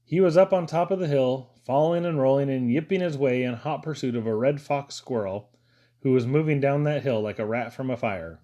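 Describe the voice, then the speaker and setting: A man's voice: medium pitch, fairly quick, mellow, dull audio. A man reading a first-person story aloud as an audiobook narrator. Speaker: audiobook reader.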